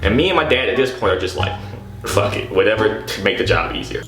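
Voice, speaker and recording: Exasperated man telling a story over music. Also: medium pitch. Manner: exasperated, slangy, profane, animated retelling. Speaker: storytelling vlogger. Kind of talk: casual personal storytelling. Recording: echoey room, loud background music. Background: background music.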